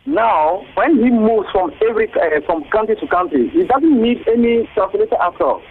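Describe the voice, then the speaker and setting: A man's voice: high voice, big pitch swings, normal speed, slightly rough. A man with a Ghanaian English accent, a teachers' association official interviewed on radio, talking hesitantly with many fillers and repeated words. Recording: tinny phone line.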